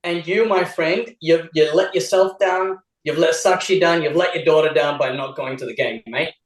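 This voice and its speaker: A man born in India who grew up in Australia, speaking with an Australian accent as co-host of a casual chat, animated and teasing. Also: a little high, normal speed, reverberant, far from the mic, rough recording. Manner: animated, teasing, repeats words when restarting.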